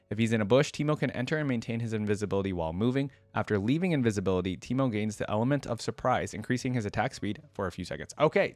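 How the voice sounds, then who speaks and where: A man doing casual reaction commentary as a video host, reading aloud and thinking out loud in a conversational way. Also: mid-range voice, dry recording.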